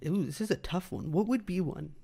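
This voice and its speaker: A man's voice: high-pitched, a little fast, vocal fry, warm tone, husky. Man chatting bluntly and casually. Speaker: show co-host. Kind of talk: casual chat.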